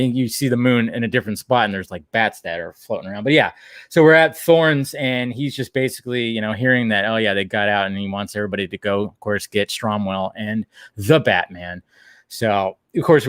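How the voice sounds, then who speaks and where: A man's voice: fairly low pitch, fairly bright tone, no echo, clean studio sound. A man co-hosting a recap show in casual chat, rambling informally through the story and throwing in swearing and acted-out quotes. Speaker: podcast co-host recapping cartoon episodes.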